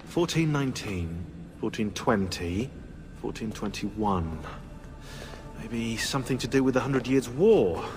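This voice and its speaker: An actor playing a sarcastic, pedantic researcher in a video game, halting as he thinks aloud. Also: mid-range voice, expressive, lightly acted. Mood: musing. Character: fussy, sarcastic British history researcher. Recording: echoey hall, music behind.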